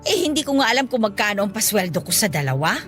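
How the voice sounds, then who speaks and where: A woman playing a warm, forgetful elderly grandmother in a Filipino radio drama, exclaiming and chuckling as she fusses. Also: mid-range voice, very animated, quick pace, soft background music, lightly acted. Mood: cheerful, fussing. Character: warm, teary, forgetful elderly grandmother who runs a store.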